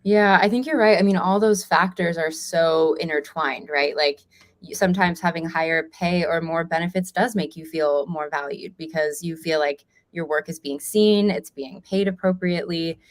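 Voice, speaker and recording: A woman's voice: fairly low pitch, normal speed. A woman with an American accent hosting a conversational interview as a reporter, in an informal, affirming manner.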